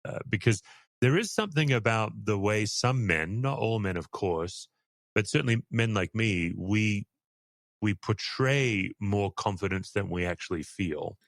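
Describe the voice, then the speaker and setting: A man's voice: on the low side, expressive, unhurried, no room sound. A middle-aged man hosting an interview, speaking candidly and reflectively with a self-deprecating touch. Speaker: podcast host, church leader. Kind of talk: conversational interview.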